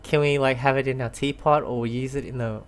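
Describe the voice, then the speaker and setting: A woman's voice: rumbling low, fairly even intonation, a little fast, heavy background noise. A woman gaming streamer giving casual playthrough commentary, rambling and thinking aloud.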